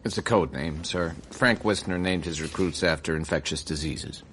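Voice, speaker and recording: American intel officer, deep voice, understated and wry, bit hesitant, radio drama. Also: male voice, moderate pace, a bit bright, slight background noise. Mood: measured, cautious. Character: measured, dry, deferential American intelligence officer.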